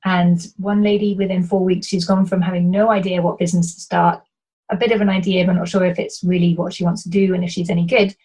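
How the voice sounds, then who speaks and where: Woman, from Wales, soft British accent, husky voice, earnest explainer, interview guest. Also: lowish voice, level tone, fast talker, low-quality recording. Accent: light British, toned-down Welsh. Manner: earnest, explanatory, with frequent restarts and fillers. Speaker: business coach guest. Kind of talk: interview answers.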